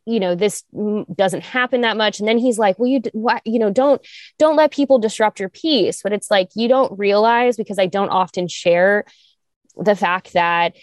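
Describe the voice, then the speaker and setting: A young woman co-hosting a talk show, speaking candidly with vocal fry, lots of fillers, and sharp jumps up in pitch when she makes a point. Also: moderate pace, no room sound. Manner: animated, restarts and fillers, rises high when emphatic. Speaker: podcast co-host. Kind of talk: candid conversational chat.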